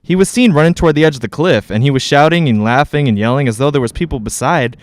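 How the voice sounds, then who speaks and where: A man's voice: mid-range voice, fast, dry recording, clean studio sound, lightly acted. A man playing a plain-spoken, dismissive real estate agent in a 1940s-style radio drama, telling things in a folksy way. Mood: matter-of-fact.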